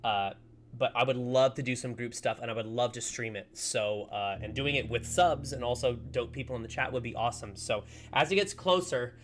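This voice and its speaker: A man streaming a game in casual, rambling chat, slightly hoarse as he recovers from a lost voice. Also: medium pitch, normal speed, slight background noise. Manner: chatty, upbeat, rambling with many fillers. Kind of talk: casual livestream chat while playing.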